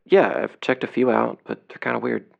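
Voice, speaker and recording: An actor playing a cocky, defensive seventeen-year-old amateur explorer in an audio drama, talking casually and bristling when challenged. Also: male voice, mid-range voice, steady tone, fairly quick, vocal fry, highs cut off. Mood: coaxing, cocky. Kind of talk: audio drama phone dialogue.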